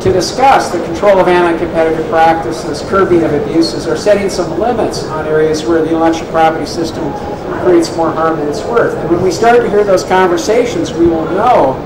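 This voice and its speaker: A man speaking on a panel in deliberate, formal phrasing. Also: on the high side. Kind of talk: panel discussion remarks. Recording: distant echoey hall with loud noise.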